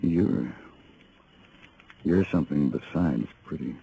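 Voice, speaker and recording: An actor playing a terse, steady gunfighter in an old radio western. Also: male voice, extremely low pitch, takes it slowly, vocal fry, heavily acted. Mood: calm, guarded. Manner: terse, unsentimental. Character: laconic, steady hired gunfighter in the Old West. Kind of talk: 1950s radio western acting. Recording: noisy old radio drama recording.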